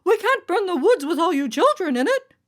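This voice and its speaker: A woman's voice: extremely high pitch, big pitch swings, brisk, voice pushed up. A woman voicing a stern, commanding female judge in a children's storybook, with music behind her. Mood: alarmed shouting.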